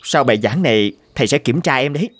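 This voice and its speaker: A man's voice: medium pitch, lively intonation, fast, fairly bright tone. A man voicing an earnest woodpecker schoolteacher in a children's cartoon, instructive and chiding. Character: earnest male woodpecker schoolteacher, concerned and admonishing.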